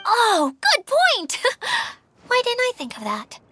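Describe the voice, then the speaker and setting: High, perky mascot spirit. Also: female voice, wildly swooping pitch, slow, sharp tone, exaggerated voice. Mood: gleeful, theatrical. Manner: theatrical, bubbly. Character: perky little female mascot spirit, theatrical and cheerful. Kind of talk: animated video game dialogue.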